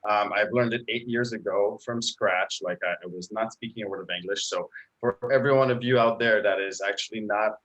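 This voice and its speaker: A man's voice: lowish voice, steady tone, fairly quick, a bit bright, rough recording. French Canadian man telling his story. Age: 30s. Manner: earnest, storytelling, many filler uhs. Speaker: guest coach. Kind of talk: casual live video-call interview. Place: indoors at home, bright room. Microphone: laptop webcam video call.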